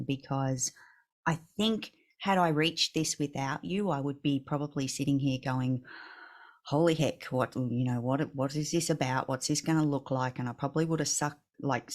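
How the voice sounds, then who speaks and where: Low-pitched woman in her forties, gushing and upbeat on a video call. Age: 40s. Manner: bubbly, effusive, laughs often. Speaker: business mastermind leader. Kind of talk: casual video call chat.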